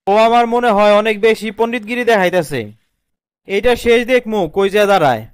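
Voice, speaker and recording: A man's voice: sits high, lively intonation, fairly quick, clear, resonant tone, dry recording, clean studio sound. A man voicing a put-upon, exasperated young cartoon husband with a protesting manner and a Bangladeshi accent. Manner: protesting, complaining. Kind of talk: comedy cartoon dialogue.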